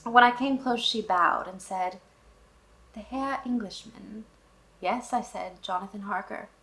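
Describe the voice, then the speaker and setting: A woman's voice: medium pitch, a little slow, fairly bright tone, rough recording. A woman reading a classic novel aloud and explaining it, in a casual manner with wry asides to the characters. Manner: frequent fillers and restarts, thinking aloud. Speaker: book channel host.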